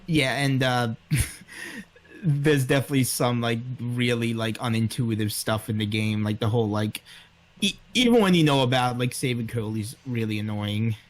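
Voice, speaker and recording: A man's voice: mid-range voice, moderate pace. A man co-hosting a casual conversation about a video game, speaking in an informal, conversational way. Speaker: co-host of a video game series.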